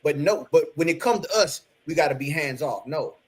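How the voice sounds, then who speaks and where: Blunt preachy man, raised pitch. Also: expressive, fairly quick. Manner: blunt, preachy, repeats for emphasis. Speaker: panel member. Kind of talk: online panel discussion.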